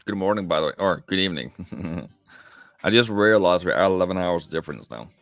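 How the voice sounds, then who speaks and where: A man's voice: low-pitched, lively intonation, fairly quick, creaky, a bit bright, no room sound. A man hosting a live trading stream, talking casually and answering viewers directly as he works through the charts. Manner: casual, chatty, answers viewers directly, calls them mate. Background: faint background music.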